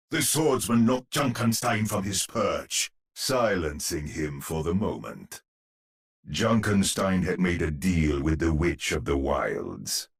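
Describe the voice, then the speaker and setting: A man playing a grave storyteller who narrates a dark Halloween tale for a video game in an ominous, theatrical manner with a husky voice. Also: deep voice, exaggerated voice.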